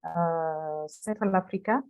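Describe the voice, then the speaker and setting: A woman's voice: deep voice, slow pace, darkish tone, smooth. A woman from Cameroon, a native French speaker with a French-speaking Cameroonian accent, speaking carefully and politely as a workshop participant. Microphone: video call. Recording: phone-like video call audio.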